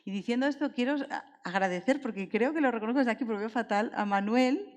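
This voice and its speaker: A woman's voice: on the high side, quick pace. A woman with an accent from Spain speaking informally as an author on a live literary panel, thinking aloud and seeking agreement. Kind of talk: conversational live panel talk. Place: indoor public event hall. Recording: echoey hall, long reverb.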